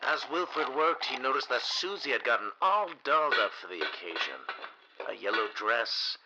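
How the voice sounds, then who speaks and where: A man narrating a 1930s radio mystery as scripted storytelling, dramatic and measured. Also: medium pitch, expressive, dull audio, background noise.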